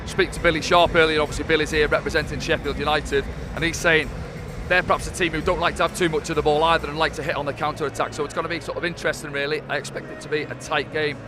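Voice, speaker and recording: A man talks through the match quickly, his pitch slightly raised, in a chatty way full of fillers and tag questions. He speaks outdoors as a pundit, with music clearly playing behind him. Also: little pitch movement. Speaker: football pundit, former player. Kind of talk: live pre-match analysis. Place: outdoors at a stadium.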